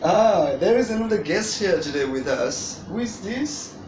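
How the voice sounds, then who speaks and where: An adult storyteller talking casually to a child, wordy and hesitant, with frequent long pauses. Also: medium pitch, lively intonation. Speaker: storyteller guest reading to a child. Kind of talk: casual unscripted introduction to storytelling. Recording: echoey room, distant mic, noisy.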